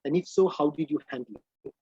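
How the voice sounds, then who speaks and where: A man hosts an online talk. He talks quickly and breaks his speech with many filler pauses, in a husky, dark voice. The sound is dry, muffled and lo-fi. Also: a little high, no room sound. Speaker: host.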